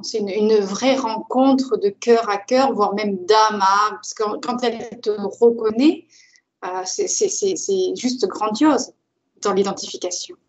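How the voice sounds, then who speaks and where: A woman host asking soft, encouraging interview questions and praising her guest's answers effusively. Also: medium pitch, measured pace. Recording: dull lo-fi sound.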